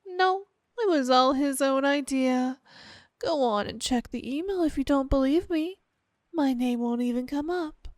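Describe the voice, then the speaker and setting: A woman playing a sweet, possessive, obsessed wife with quiet menace, cooing and coaxing in a roleplay ASMR style. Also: sits high, dry recording. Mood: falsely innocent. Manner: cooing, saccharine, coaxing, drawn-out delivery.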